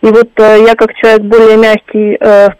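A woman's voice: fairly high pitch, monotone, normal speed, darkish tone, smooth, no room sound, low-quality recording. Female phone-in caller, candid and chatty, dry sound. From Russia. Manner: chatty, candid, a little rueful. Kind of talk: caller telling a personal story.

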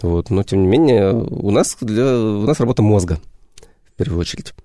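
A man guesting on a radio show, with a lowish, mellow voice heard close and dry. He explains things unhurriedly and stops often to find the right word. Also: expressive, no room sound. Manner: unhurried, searching for words. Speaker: history educator, guest. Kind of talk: radio interview. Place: radio studio.

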